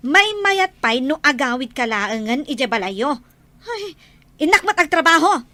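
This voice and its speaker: An actress plays a sharp-tongued working mother in an Ilocano radio drama. Her voice is extremely high and bright, with an Ilocano accent, recorded close and dry. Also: big pitch swings, a little slow, dry recording, heavily acted. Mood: exasperated.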